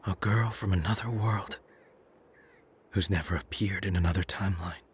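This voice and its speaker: An actor playing a weary, weakened prophet bent on revenge in a video game, with a breathy, halting, grave delivery that often drops to a whisper. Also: male voice, low-pitched, slight background noise, lightly acted. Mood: resigned, earnest. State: coughing, weakened. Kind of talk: dramatic video game cutscene acting. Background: prominent music.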